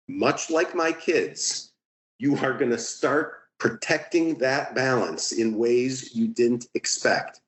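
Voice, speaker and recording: Middle aged guy on a podcast explaining stuff casually but emphatic, pretty echoey room. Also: medium pitch. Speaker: podcast guest, horseplayer. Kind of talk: casual interview talk, giving advice with anecdotes. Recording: roomy, echoing sound.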